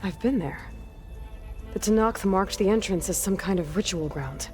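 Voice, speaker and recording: A resolute young heroine voiced by a woman talks in a mid-range voice in a video game scene. She is earnest, practical and quick to probe for a solution, with faint music behind her. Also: mid-range voice, darkish tone, lightly acted. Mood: probing. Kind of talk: scripted video game cutscene acting.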